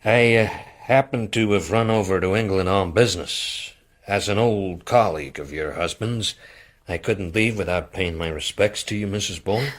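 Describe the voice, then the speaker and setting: Low, husky, formal businessman played by an actor. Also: close to an everyday voice. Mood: polite, probing. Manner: formal, measured courtesy. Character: formal, politely insistent businessman. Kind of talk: radio play acting.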